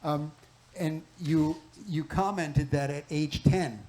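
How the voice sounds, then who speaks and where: Halting, higher-voiced male panel host. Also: steady tone, a little mellow. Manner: halting, lots of fillers and restarts. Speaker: panel host, clinic doctor. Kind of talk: moderating a live health panel. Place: clinic event room.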